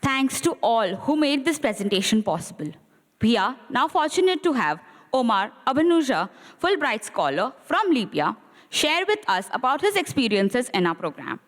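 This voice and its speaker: A woman's voice: fairly high pitch, very animated, moderate pace. A woman acting as master of ceremonies, making upbeat introductions at a formal ceremony in a large auditorium. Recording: long reverb of a large auditorium.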